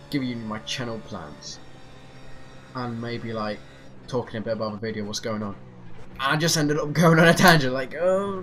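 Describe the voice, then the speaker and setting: A man's voice: medium pitch, fairly bright tone. A man rambling hesitantly with lots of fillers as he drives a racing sim, his nose stuffy from a cold. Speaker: sim racing channel host. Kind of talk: casual rambling commentary while driving a racing sim. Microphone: off-center desk mic. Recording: clear sim engine noise behind. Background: racing game engine noise.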